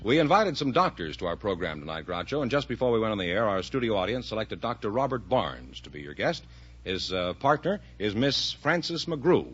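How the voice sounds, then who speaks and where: A man's voice: fairly low pitch, very animated, brisk, a bit bright, breathy edge. A man working as announcer and sidekick on a 1950s radio quiz show. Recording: dull vintage radio recording, audience noise.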